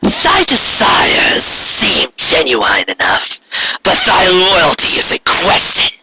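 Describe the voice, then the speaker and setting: A man's voice: high voice, wildly swooping pitch, vocal fry, background noise, pitched above the performer's own voice, exaggerated voice. A man voicing a regal, menacing female alicorn tyrant in an archaic, grandiose manner for a storybook reading. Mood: condescending. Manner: archaic, grandiose, slow proclamations.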